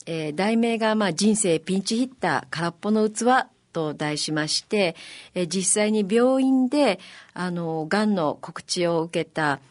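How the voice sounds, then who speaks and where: A woman guest being interviewed, explaining politely and haltingly with many filler words. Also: medium pitch, animated. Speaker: guest, amateur musical performer.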